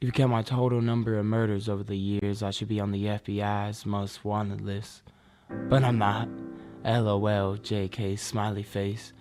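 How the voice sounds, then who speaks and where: A young man performing slam poetry with a dramatic, rhythmic, emphatic and deliberate delivery. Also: lowish voice, level tone, a little mellow, faint music behind. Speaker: slam poet.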